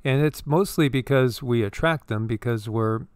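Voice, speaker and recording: A man co-hosting a spiritual commentary chat in an earnest, rambling manner, looping back and repeating himself as he goes. His voice is smooth and of middling pitch. The sound is dry and close, with no room echo. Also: normal speed, a little mellow. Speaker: co-host.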